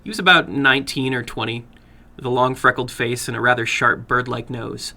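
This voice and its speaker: Casting: man to narrate horror short stories dramatically, mid-pitched, crisp bright tone with noticeable fry. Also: steady tone, brisk. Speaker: narrator, host of horror fiction readings.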